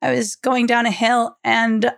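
A woman with a cold-worn, hoarse voice tells a story to her co-hosts. Her pitch sits a little high, and the sound is dry and close with no room around it. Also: level tone, a little slow, dry recording. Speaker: podcast co-host. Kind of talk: casual chat, storytelling.

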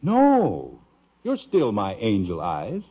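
A man's voice: on the low side, wildly swooping pitch, slow, husky, full character voice. An American actor playing an easygoing, dry-humored middle-aged father in a 1950s radio sitcom, on a dull, noisy old recording. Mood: tender.

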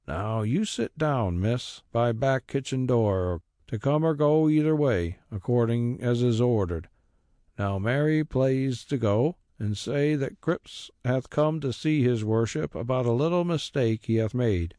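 A man's voice: mid-range voice, lively intonation, warm tone, dry recording, studio quality, lightly acted. A man voicing a stubborn old rustic carrier. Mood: coaxing. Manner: broad rural dialect, slow and roundabout.

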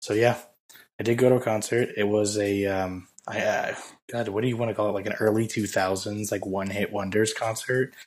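A man co-hosting a podcast in casual chat, hoarse from losing his voice, rambling with many fillers. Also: fairly low pitch, flat delivery, fairly quick. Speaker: podcast co-host.

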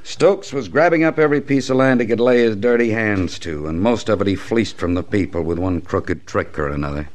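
Man acting deep-voiced small-town western lawyer. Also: fairly quick, hardly any pauses, darkish tone, close to an everyday voice. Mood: earnest, indignant. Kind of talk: 1960s radio western acting.

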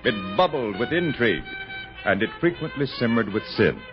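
A man's voice: mid-range voice, very animated, moderate pace. A man narrating a scripted 1960s radio drama in a florid, theatrical storytelling style. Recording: muffled old radio broadcast with music.

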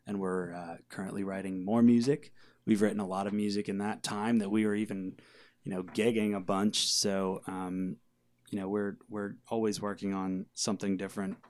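A man's voice: lowish voice, little pitch movement, normal speed, darkish tone, dry recording. A man chatting casually and a little hesitantly in an interview as a band's singer-songwriter.

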